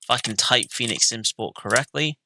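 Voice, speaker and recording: A man's voice: medium pitch, animated, a little fast, bright, forceful tone, a little hiss or hum. A man hosting and commenting on a sim racing livestream, welcoming viewers and keeping the talk moving. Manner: welcoming, runs the stream, directs talk.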